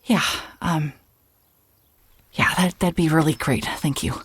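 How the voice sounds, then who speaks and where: An actress playing a weary, evasive woman in an audio drama, speaking haltingly and apologetically. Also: low voice, slightly rough, exaggerated voice. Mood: flustered, hesitant. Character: weary, evasive woman, a traveller staying at a motel. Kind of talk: naturalistic audio drama acting.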